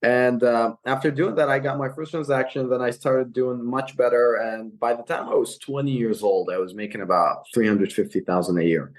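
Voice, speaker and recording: A young man from Iraq tells his story as a podcast guest. He talks earnestly, often pausing on fillers and restarting his sentences, in a voice of ordinary pitch. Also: fairly even intonation, poor audio. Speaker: guest, real estate investor. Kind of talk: casual podcast interview. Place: California.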